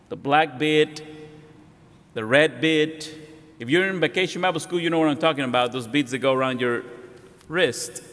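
A middle-aged man from Mexico with a Mexican accent, preaching as a guest pastor in a halting, self-deprecating way with many restarts. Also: fairly high pitch, a bit bright. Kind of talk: sermon. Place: large church sanctuary. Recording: long hall reverb.

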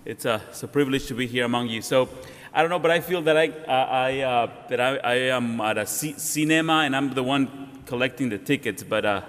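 Mexican-accented pastor preaching in a hall. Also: male voice, medium pitch. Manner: halting, warm, self-deprecating, with frequent restarts. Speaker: guest pastor. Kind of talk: sermon. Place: large church sanctuary. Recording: long hall reverb.

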